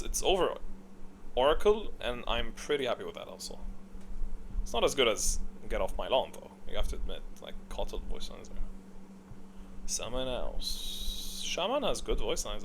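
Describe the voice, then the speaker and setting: A man's voice: medium pitch, a bit bright, a little hiss or hum. A man giving casual, opinionated ranking commentary, thinking aloud in an informal way. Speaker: gamer video creator.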